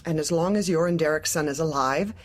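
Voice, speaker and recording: A woman's voice: deep voice, brisk. A woman playing a manipulative, officious corporate manager in a darkly comic audio drama, speaking with bland politeness and veiled menace. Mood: falsely sympathetic. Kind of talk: audio drama acting, dark comedy.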